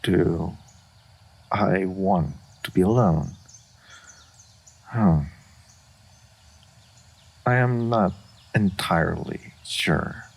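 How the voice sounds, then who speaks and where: Solitary, dignified fantasy dragon, played by a man: gravelly and husky, formal, unhurried, reserved. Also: sits low, heavily acted. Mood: reserved, pensive. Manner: formal, measured, deliberate, reserved. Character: aloof, solitary, dignified old male dragon of great power. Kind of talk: scripted fantasy roleplay audio.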